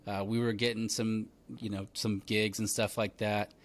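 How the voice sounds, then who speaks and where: A man in his thirties hosting a podcast, telling stories in a relaxed chatty way full of "like" and "man". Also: fairly low pitch, normal speed. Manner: relaxed storytelling, lots of fillers like "like" and "man". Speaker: podcast host. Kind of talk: casual conversational interview.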